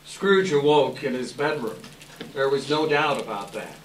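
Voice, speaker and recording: A man narrating a story from a script in an unhurried, descriptive readers theater style. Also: medium pitch. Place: indoor live event, distant microphone. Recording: echoey hall from far off, faint noise.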